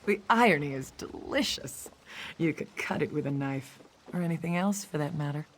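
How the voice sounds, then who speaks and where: Woman playing a low, sardonic gossip. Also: moderate pace, slight background noise, lightly acted, rough recording. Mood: gleeful, sardonic. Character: sardonic gossip relishing drama.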